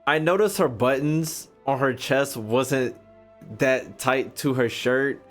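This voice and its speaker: A man's voice: medium pitch, takes it slowly. Slangy young American man streaming games. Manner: slangy, profane, joking, repeats lines back. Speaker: gaming streamer. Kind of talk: casual joking game stream commentary. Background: faint game music.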